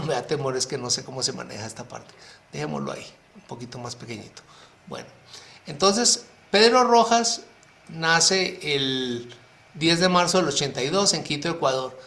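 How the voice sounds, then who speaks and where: A man leads an informal astrology lesson for a private group, walking through ideas one at a time and often asking questions aloud before answering them himself. His voice sits a little high for a man and is full of everyday fillers. The recording carries a noticeable roomy echo. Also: vocal fry, bright, forceful tone. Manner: explanatory, many rhetorical questions and fillers. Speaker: astrologer teaching a workshop. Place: online talk for a private group.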